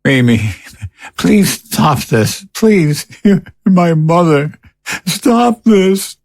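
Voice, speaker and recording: A man's voice: sits high, lively intonation, drawn-out words, a little mellow, full character voice. A man playing a tormented, desperate adult son in an audio horror drama, weary and pleading.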